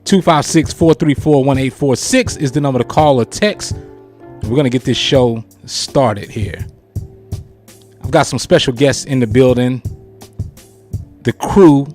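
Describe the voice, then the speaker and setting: A man's voice: mid-range voice, unhurried, pauses often, darkish tone, faint music behind. A man hosting a casual live radio show, with showy introductions, on a close studio mic. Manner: showy introductions with repeated catchphrases.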